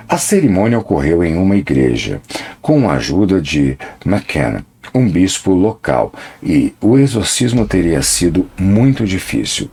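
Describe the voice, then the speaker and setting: Deep-voiced dramatic male narrator. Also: a little mellow. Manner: dramatic, measured storytelling. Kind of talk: scripted documentary narration.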